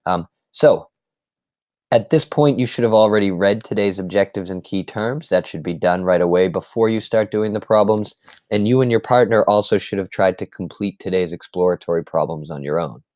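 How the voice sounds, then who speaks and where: A man teaching a math lesson recap, explaining step by step in a patient way, his voice slightly hoarse. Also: low voice, normal speed, a little mellow, no room sound, studio quality. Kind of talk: screen-recorded lesson recap.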